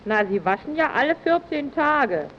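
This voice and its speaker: An actress playing a curious, admiring housewife and neighbour in a scripted vintage radio advert, inquisitive and flattering. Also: female voice, sits high, moderate pace. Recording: dull, noisy early radio recording.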